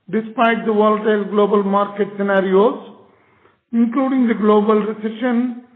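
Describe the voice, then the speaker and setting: A high-pitched man's voice with an Indian accent comes through a narrow, tinny phone line. He delivers formal prepared remarks as a company's managing director.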